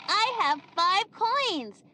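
A cheerful little girl presents a cartoon children's show. Her voice is high and animated, and she speaks slowly and sing-song, putting questions straight to young viewers. Music plays behind her. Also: full character voice. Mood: bright, cheerful. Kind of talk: children's educational cartoon acting.

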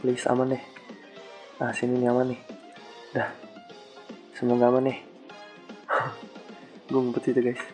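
A man's voice: mid-range voice, completely flat delivery, measured pace, pauses often, a little mellow, soft background music. A young man with a stuffy nose from a cold, giving casual, slangy game commentary with English exclamations. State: has a cold. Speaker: gaming channel host.

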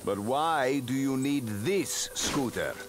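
A man's voice: medium pitch, animated, takes it slowly. An actor playing a skeptical male rebel hero who asks dry, doubting questions. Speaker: game protagonist. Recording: game audio over loud music. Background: loud game music.

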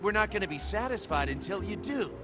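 Cocky young hitman, video game: high, lively voice, slangy, irritable, sarcastic, over clear background music. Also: a little fast. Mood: excited, brash. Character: cocky, irritable, hot-blooded young male assassin. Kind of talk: animated video game acting.

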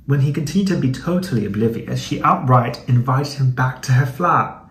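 A man's voice: mid-range voice, expressive, normal speed, a bit bright. A young American man hosting a comedic video, reading aloud and commenting in a chatty, slangy, playfully dramatic way. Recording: echoey room with some background noise.

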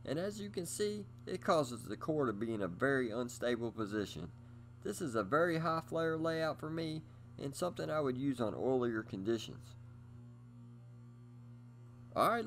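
A middle-aged man giving scripted instructional narration in an upbeat presenter manner, speaking straight to his viewers. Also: fairly high pitch. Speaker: host of a bowling instruction video.

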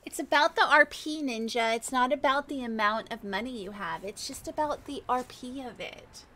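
A woman's voice: a little high, normal speed, sharp tone, slight background noise. A woman chatting casually during in-game roleplay as a streamer, hesitant and often thinking aloud.

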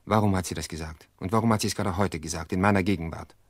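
A man's voice: low-pitched, steady tone, racing, no echo, clean studio sound. A man playing an earnest, insistent suitor in his prime, speaking urgently and persuasively in a radio play.

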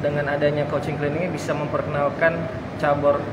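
A man from South Sumatra, a sports official answering interview questions on camera in a large indoor bowling hall, pausing often with "eh". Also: a little high, monotone, very noisy. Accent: Indonesian, from South Sumatra. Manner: hesitant, with frequent "eh" fillers.

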